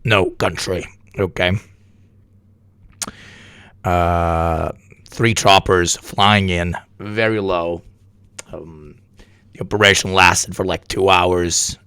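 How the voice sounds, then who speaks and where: A sick, hoarse man streaming live news commentary, talking bluntly and with plenty of swearing. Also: deep voice, fairly even intonation, slow pace, pauses often, sharp tone, dry recording. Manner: profane, blunt, rambling. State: hoarse from illness.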